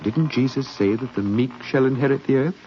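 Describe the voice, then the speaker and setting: A man's voice: mid-range voice, brisk, close to an everyday voice. Man playing wry doctor, muffled old radio. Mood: dry irony. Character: educated English doctor, kindly, principled, dryly witty. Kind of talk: 1950s radio drama acting. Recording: muffled, hissy 1950s radio recording.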